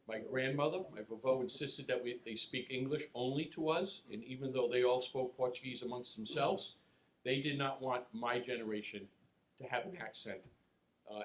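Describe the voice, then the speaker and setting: A middle-aged man, speaking on a panel in a relaxed, halting way with many fillers. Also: mid-range voice, low-quality recording. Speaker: state senator. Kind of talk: panel remarks.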